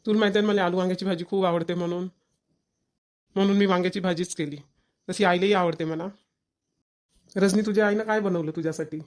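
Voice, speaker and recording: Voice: mid-range voice, fairly even intonation, fast, long pauses, natural voice. A performer voicing an easygoing young village husband in a family cartoon, homely and conversational. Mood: casual, interested.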